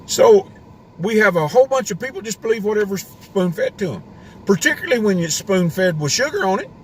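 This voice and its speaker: A man's voice: high voice, very animated, a little fast. Rambling male homesteader host, voice wearing thin, talking from his car over faint noise. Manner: ranting, rambling with restarts. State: voice getting worn. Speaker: homesteading live stream host. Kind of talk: casual live stream rant. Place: inside a parked car.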